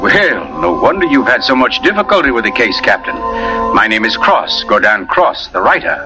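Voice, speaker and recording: An actor playing a suave, theatrical crime writer who narrates a murder mystery with urbane, deliberate pauses in a 1940s radio drama. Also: male voice, medium pitch, sing-song, loud music behind the voice, lightly acted, low-quality recording. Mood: smug, measured. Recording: vintage 1940s radio broadcast.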